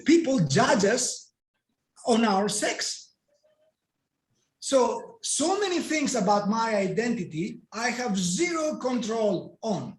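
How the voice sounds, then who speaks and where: A man's voice: extremely high pitch, big pitch swings, takes it slowly. A man from Greece whose first language is Greek, speaking English with a Greek accent as an interview guest. He talks emphatically and poses rhetorical questions that he answers himself. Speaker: guest coach and NLP trainer. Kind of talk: explanatory interview talk. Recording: echoey room.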